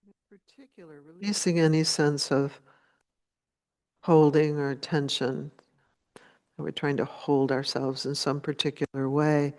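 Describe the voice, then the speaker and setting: A woman leading a guided meditation as a teacher, speaking in a gentle, unhurried way with long pauses. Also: sits low.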